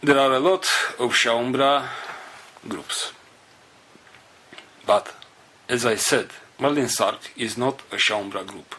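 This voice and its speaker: A man whose first language is Romanian, leading a spiritual group and giving an unscripted talk in slow, halting fragments. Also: medium pitch, fairly even intonation, bright, forceful tone, a little hiss or hum.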